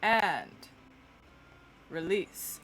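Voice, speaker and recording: A woman's voice: fairly low pitch, lively intonation, drawn-out words, a bit bright, soft background music, pitched below the performer's own voice, heavily acted, low-quality recording. A woman voicing a dignified festival speaker who gives solemn, commanding orders to archers in a tabletop game.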